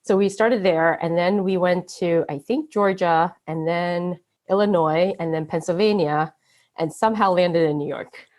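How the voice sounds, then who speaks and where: A woman's voice: lowish voice, normal speed, a little mellow. A woman with an American accent talking casually as an interview guest, peppering her informal speech with filler phrases. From born in Taiwan, grew up in the United States from age seven. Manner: informal, frequent "like" and "you know".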